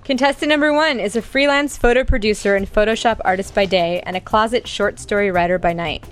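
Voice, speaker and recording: Woman host reading out contestant bios like a game show, soft music in back. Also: medium pitch, a little fast. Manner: reads contestant bios smoothly. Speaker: podcast host. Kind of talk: scripted dating-game introductions.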